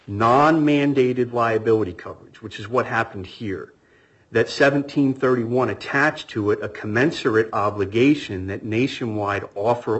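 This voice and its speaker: Hoarse male attorney with a cold. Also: lowish voice, poor audio. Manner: formal, measured. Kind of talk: courtroom argument.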